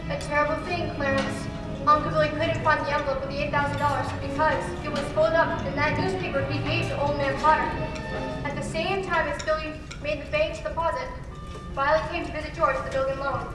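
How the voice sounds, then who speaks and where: A woman's voice: high voice, fairly even intonation, brisk, natural voice. A young woman acting as the storytelling heavenly narrator of an old-fashioned radio play, reading scripted narration. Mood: solemn, storytelling. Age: young adult. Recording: echoey live stage, distant mic, music behind.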